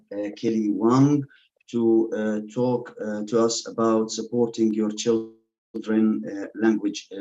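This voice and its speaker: A man's voice: fairly low pitch, dark tone. A man hosting an online session who introduces the guest speaker hesitantly, with many fillers, over a video call. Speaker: host. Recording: dull lo-fi audio.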